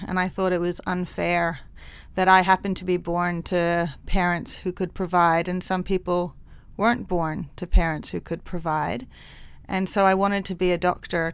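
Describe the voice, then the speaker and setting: A woman's voice: mid-range voice, a bit bright, clear, resonant tone, no room sound. A woman psychologist with a mixed international accent, talking frankly and laughing often in an interview. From Hong Kong. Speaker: clinical psychologist guest. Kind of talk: interview conversation.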